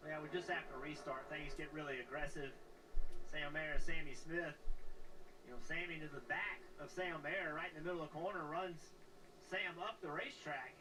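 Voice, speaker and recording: A man's voice: a little high, steady tone, normal speed. A man working as a broadcast race analyst, explaining the incident at length and often saying "you know". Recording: distant television audio with loud hiss.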